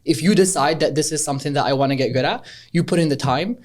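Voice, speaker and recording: A man in his twenties, originally from Algeria, talking casually as a startup co-founder in an interview, relaxed and rambling with many fillers. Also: a little high, quick pace. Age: 20s.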